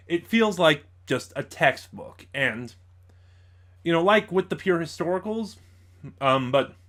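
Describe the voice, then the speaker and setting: A man giving an informal spoken review as host, in a halting way, stumbling over words and correcting himself. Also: fairly high pitch, fairly bright tone.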